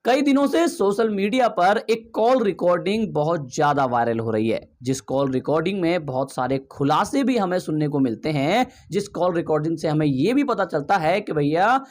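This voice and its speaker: A man's voice: fairly high pitch, lively intonation, normal speed, few pauses. A man giving emphatic gossip commentary, asking rhetorical questions and addressing viewers directly. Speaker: video commentator.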